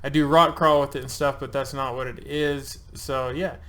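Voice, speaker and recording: A man's voice: mid-range voice, steady tone, moderate pace, fairly bright tone. A man in his thirties talks viewers through an online form at an easy, conversational pace. His delivery is loose and joking, full of filler words. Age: 30s. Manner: loose, chatty, joking, with frequent ums and uhs. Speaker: channel host. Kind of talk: casual screen-share walkthrough.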